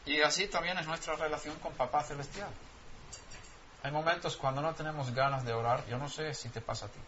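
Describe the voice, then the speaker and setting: A man whose first language is Swedish delivers a sermon with emphatic repetition and questions put to his listeners. His voice is bright and forceful and sits a little high. The recording is dull and lo-fi, with faint ambience behind him. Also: fairly even intonation, a little fast, slight background noise. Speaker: preacher.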